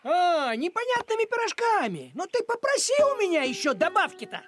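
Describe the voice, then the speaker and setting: Актриса озвучивает ворчливого, язвительного и хозяйственного домашнего кота в мультфильме. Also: female voice, extremely high pitch, very animated, brisk. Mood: sarcastic. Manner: grumbling, sarcastic, homely. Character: grumpy, sarcastic, thrifty house cat. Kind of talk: animated cartoon voice acting. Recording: music behind the voice.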